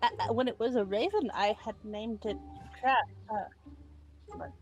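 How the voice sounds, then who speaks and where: A woman's voice: fairly high pitch, measured pace, darkish tone. A woman playing a tabletop game, describing her character's familiar in a chatty way with lots of ums and likes. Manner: chatty, many ums and likes, laughs often. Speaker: player.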